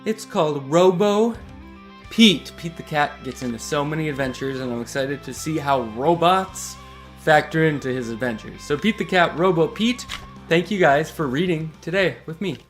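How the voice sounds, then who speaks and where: A man's voice: fairly high pitch, animated, normal speed, soft background music. A man hosting read-aloud storytelling for children, reading expressively in simple phrases and chatting with listeners. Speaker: host reading children's picture books aloud.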